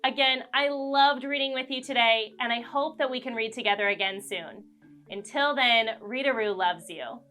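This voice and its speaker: A woman reading a children's storybook aloud in an expressive way, speaking directly to young listeners. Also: fairly high pitch, normal speed, faint music behind. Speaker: children's read-aloud host. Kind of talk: animated children's storybook narration.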